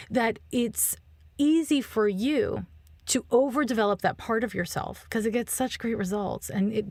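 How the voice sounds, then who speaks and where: A woman's voice: medium pitch, no echo, studio quality. A woman giving a conversational astrology reading as a podcast guest, speaking frankly and informally with casual swearing. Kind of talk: conversational birth chart reading.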